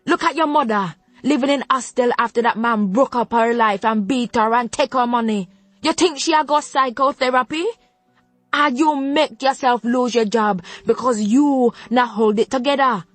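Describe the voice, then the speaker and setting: A woman's voice: a little high, normal speed, no echo, pitched above the performer's own voice, lightly acted. A woman voicing a stern, proud old Jamaican grandmother who scolds in thick patois. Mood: furious. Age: older. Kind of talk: audiobook narration.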